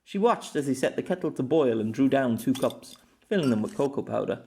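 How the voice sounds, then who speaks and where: A man's voice: medium pitch, lively intonation, a little fast, mellow, a little hiss or hum. A man reading scripted story narration as an amateur audiobook narrator.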